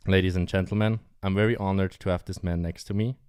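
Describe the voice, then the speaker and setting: A man's voice: low-pitched, steady tone, fast, darkish tone, clear, resonant tone, no room sound. Young man, podcast host, eager, a bit nervous, dry sound. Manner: eager, nervous, laughs often. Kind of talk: casual podcast interview.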